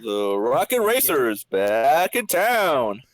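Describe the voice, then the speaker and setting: A man's voice: mid-range voice, very animated, takes it slowly. A male podcast co-host chatting casually and giving mock-announcer introductions as the narrator.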